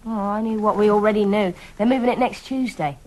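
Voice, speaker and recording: An actress playing a brisk, good-humoured librarian and dutiful daughter in a radio comedy drama. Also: female voice, mid-range voice, a little mellow, close to an everyday voice, rough recording. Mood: matter-of-fact.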